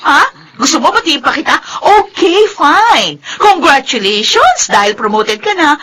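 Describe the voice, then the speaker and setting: High-pitched, furious wounded young daughter, Filipino. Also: female voice, very animated, measured pace, bright, forceful tone, exaggerated voice, low-quality recording. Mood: bitter sarcasm. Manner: biting sarcasm, switches between Tagalog and English. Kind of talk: Filipino radio soap acting.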